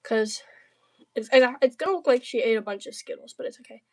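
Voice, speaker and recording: A woman's voice: fairly high pitch, brisk. A woman from the southern United States livestreaming casually, chatting and bantering with viewers in a playful, slangy, jokey way. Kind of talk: casual livestream chat while crafting.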